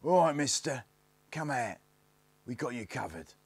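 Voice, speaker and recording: A man voicing the bossy, scornful eldest boy of a gang in a storybook, with rough colloquial speech and a sneering, snapping manner. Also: mid-range voice, lively intonation, a little slow, no room sound, pitched above the performer's own voice.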